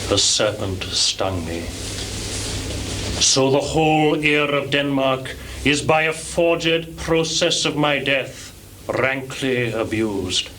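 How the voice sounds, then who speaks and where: An actor playing the wronged ghost of a murdered old king in a live Shakespearean stage recital, with grave, drawn-out declamation. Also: mid-range voice, animated, bright, forceful tone. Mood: grave, accusing. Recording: noisy 1980s live theatre recording.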